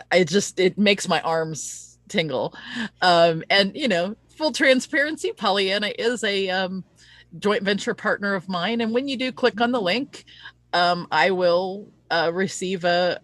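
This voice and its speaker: A woman's voice: medium pitch, unhurried, fairly bright tone, dry recording. A woman hosting a casual promotional live-stream interview, speaking in an earnest, chatty and rambling way. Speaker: host of an online interview show.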